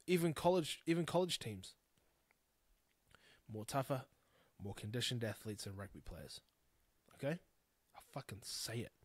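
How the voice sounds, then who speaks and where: A man's voice: medium pitch, husky, no room sound. A man in his thirties with a New Zealand accent, hosting a podcast alone, talking bluntly and frankly, swearing freely as he rambles. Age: 30s. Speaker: podcast host. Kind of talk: candid solo podcast monologue.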